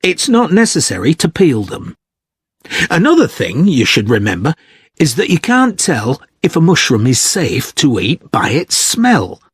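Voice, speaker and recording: A male author and guest speaker giving practical, instructive advice in a scripted talk. His voice sits a little high and moves widely in pitch, with unhurried delivery. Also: slightly rough, no room sound, clean studio sound, full character voice. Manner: measured, instructive. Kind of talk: informational talk, scripted exam listening recording.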